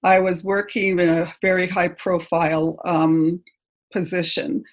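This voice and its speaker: A middle-aged woman from Canada with no accent, giving a personal testimony in church, hesitant and full of pauses and ums. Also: fairly low pitch, flat delivery. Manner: hesitant, halting, many ums.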